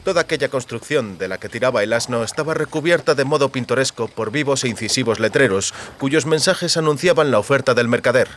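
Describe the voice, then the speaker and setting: Male audiobook narrator, medium pitch. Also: fairly quick, hardly any pauses, a bit bright, slight background noise. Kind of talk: scripted literary narration.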